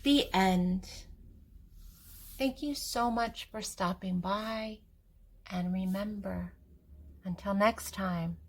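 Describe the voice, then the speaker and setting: An older woman reading a picture book aloud to children, expressive and deliberate, with emphasis on key words. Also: medium pitch. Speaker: children's story reader and channel host.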